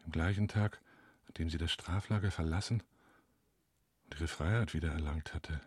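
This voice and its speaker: An actor in a German radio play as a reserved client, speaking in a terse, subdued way. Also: male voice, rumbling low, moderate pace, a little mellow, husky, no room sound. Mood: subdued reading. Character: reserved adult male client hiring a detective.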